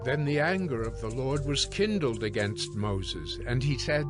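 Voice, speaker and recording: A man narrating a dramatized scripture reading in a measured, formal storytelling manner. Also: medium pitch, expressive, music in the background.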